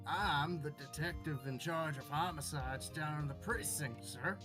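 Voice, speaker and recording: Male amateur as police detective, earnest, respectful, courtroom game, clear background music. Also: fairly high pitch, unhurried, lightly acted. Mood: earnest, dutiful. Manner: earnest, says sir often.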